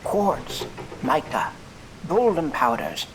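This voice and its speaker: A man's voice: a little high, wildly swooping pitch, slow pace, a crowd in the background, exaggerated voice, poor audio. Man playing a grandiose orator of fantastic travels. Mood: grand, proclaiming. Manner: florid, theatrical, list-like oration. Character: grandiose male traveler orating marvels to crowd. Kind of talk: audio fiction acting.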